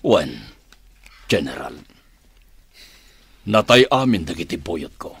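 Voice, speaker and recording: An actor playing a scheming villain boss in an Ilocano radio drama, with a husky voice. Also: male voice, sits low, very animated, slow, fairly bright tone, no echo, faint music behind, full character voice. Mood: grim, defensive.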